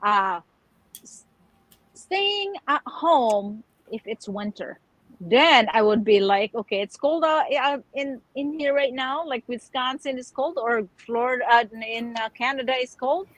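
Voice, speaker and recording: A woman from the Philippines speaks in a casual online chat with a somewhat high voice. The sound is dry and close, and she talks cheerfully, laughing between phrases. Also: expressive, unhurried, fairly bright tone, no echo, poor audio. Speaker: guest co-host.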